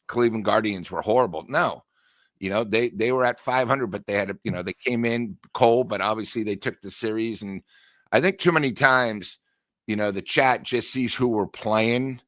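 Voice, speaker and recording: A man's voice: lowish voice, normal speed, fairly bright tone, no room sound, studio quality. A male co-host giving casual sports analysis in a blunt way, often saying "you know".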